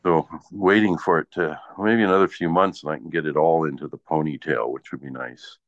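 A man's voice: rumbling low, fairly even intonation, a little fast. A man in his sixties chatting casually in a rambling, easygoing way. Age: 60s. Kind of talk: casual chat. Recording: dull lo-fi audio in echoey room.

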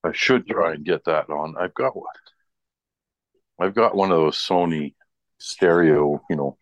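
A middle-aged man with a strikingly low voice talks in a loose, folksy way. He rambles casually as a presenter in informal chat. The sound is dry, with little room to it. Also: a little slow, no echo, muffled recording. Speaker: radio show presenter.

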